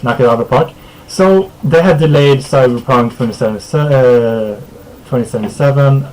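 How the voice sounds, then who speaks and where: A laid-back man from Sweden, Swedish his first language, hosts a casual live-streamed chat in an even, mid-range voice. He chuckles often and trails off into fillers. A faint hum of room ambience sits under his voice. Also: mid-range voice, fairly quick, rough recording. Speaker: podcast host.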